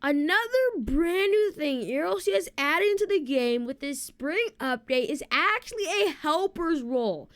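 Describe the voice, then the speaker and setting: Animated high-voiced woman presenting a game. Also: a little slow, hardly any pauses. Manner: animated, informal, addresses viewers directly. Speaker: video game streamer and presenter. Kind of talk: casual gameplay walkthrough commentary.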